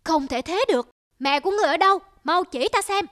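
A woman's voice: extremely high pitch, expressive, fast, background noise. A woman voicing a vain, haughty young princess in a fairy-tale cartoon, self-admiring and imperious. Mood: indignant.

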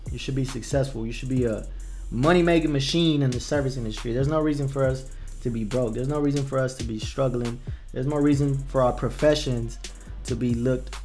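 A man's voice: medium pitch, darkish tone. A young man from Texas, a barber, casually talking viewers through pricing and income math on a screen share, often repeating words as he thinks. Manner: conversational, repeats words while thinking, addresses viewers as "you guys". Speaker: barber teaching business. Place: small room indoors. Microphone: desk microphone at a computer, webcam in corner.

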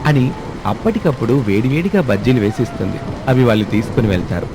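A man's voice: medium pitch, animated, fast talker, background noise. A man narrating a scripted story as its storyteller.

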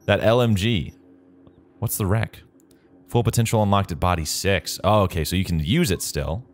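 A man's voice: low-pitched, normal speed. An American man giving casual, jokey gameplay commentary, slangy and talking back to the game. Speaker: gaming video host. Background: game music and vehicle sounds.

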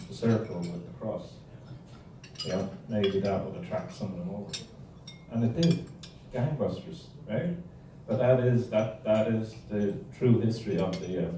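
A man's voice: on the low side, warm tone. An Irish man in his sixties giving a wry, rambling, humorous history talk to a club. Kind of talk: humorous informal history lecture with slides. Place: indoor hall with projection screen. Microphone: handheld or stand microphone, recorded from audience camera. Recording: echoey room, distant mic, noisy background.

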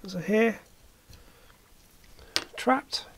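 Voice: mid-range voice, drawn-out words, slight background noise. A hobbyist presenter giving a casual hands-on repair commentary, thinking aloud while working. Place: indoor workbench.

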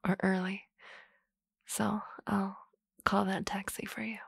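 A woman playing a teasing, confident office boss in a soft, close, whispered ASMR roleplay. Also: medium pitch, level tone, takes it slowly, creaky, husky, no room sound.

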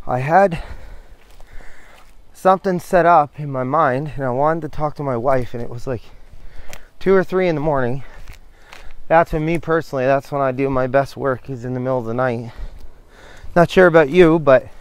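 A man's voice: fairly high pitch, smooth. A middle-aged man chatting casually while walking through windy woods, rambling and interrupting himself as he talks into a handheld camera. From New Brunswick, Canada. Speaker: vlogger. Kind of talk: casual hiking vlog chat. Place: outdoors in windy coastal woods.

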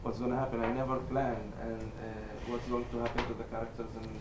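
A man in his forties, a native Arabic speaker with a Palestinian Arabic accent, talking on a university panel in a halting way, full of fillers and restarts, with wry humor. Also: mid-range voice, little pitch movement. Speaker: novelist on a panel. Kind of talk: casual panel talk. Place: university lecture hall. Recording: echoey hall with background noise.

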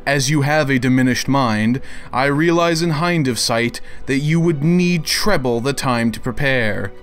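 A man's voice: medium pitch, lightly acted. A man doing a dramatic reading as a pompous, indignant internet crank with an overly formal, theatrical delivery. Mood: condescending. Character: pompous, indignant male internet crank issuing grandiose demands. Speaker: narrator of read-out messages. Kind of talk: dramatic reading of written messages.

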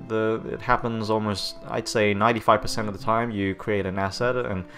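A man's voice: fairly low pitch, flat delivery, a little fast. A man with a stuffy hay-fever voice, talking through a game-art tutorial while he works, rambling and correcting himself often. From Australia. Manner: rambling, self-correcting, frequent "okay?" and "um". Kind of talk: screen-recorded tutorial narration. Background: soft background music.

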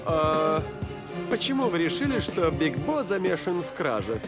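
A man's voice: on the high side, big pitch swings, unhurried, loud music behind the voice. A man voicing a courteous, deferential cartoon assistant with a formal manner and dry wit. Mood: questioning. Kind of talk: dubbed cartoon acting.

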